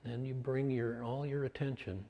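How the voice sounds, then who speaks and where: A man leading a guided meditation in short, measured phrases with pauses between them. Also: medium pitch, little pitch movement, highs cut off. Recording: long hall-like reverb.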